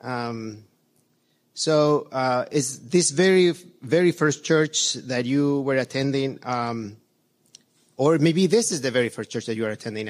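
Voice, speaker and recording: Earnest, halting church elder from Chile. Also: male voice, mid-range voice. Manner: earnest, halting with many fillers and restarts. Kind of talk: church reflection talk.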